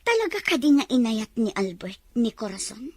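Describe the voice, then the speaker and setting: Woman voicing a spoiled rich lady, high and swoopy, kinda dramatic. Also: very animated, normal speed, no echo. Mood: demanding. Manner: bossy, emotional, dramatic. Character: spoiled jealous wealthy woman, demanding.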